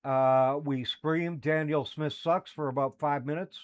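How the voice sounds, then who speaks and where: A man's voice: on the high side, fairly even intonation, a little slow. A man co-hosting a livestream, chatting casually and rambling with many fillers and restarts. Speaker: podcast co-host. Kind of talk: casual chat.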